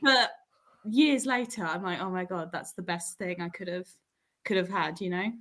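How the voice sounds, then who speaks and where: Woman, late 20s, mid-pitched voice. Animated, self-mocking storyteller in a casual panel chat. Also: normal speed. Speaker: panelist in a writers' livestream.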